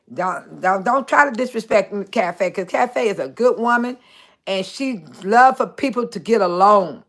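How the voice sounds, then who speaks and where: A woman in her sixties hosting a casual livestream, chatting warmly with viewers by name and laughing often. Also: medium pitch. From Chicago area. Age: 60s. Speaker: livestream host. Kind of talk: casual livestream chat reading viewer comments.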